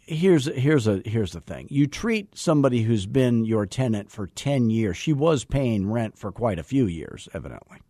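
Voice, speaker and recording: A man's voice: mid-range voice, sing-song, moderate pace, few pauses, mellow, no room sound, clean studio sound. A man hosting a sales coaching call-in show, blunt and wryly teasing his caller.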